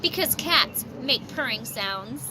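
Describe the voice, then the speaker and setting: A woman's voice: animated, takes it slowly. A woman voicing chatty young animal friends from a storybook with simple, cheerful, childlike certainty. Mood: cheerfully certain. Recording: noisy outdoor amateur recording, ambient rumble.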